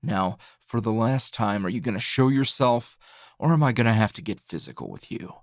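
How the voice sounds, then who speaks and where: A man playing a tired, gruff, dryly teasing hero schoolteacher in an ASMR roleplay, speaking low and gravelly in a halting, understated way. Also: expressive, dry recording, studio quality. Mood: irritated, weary. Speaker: voice actor.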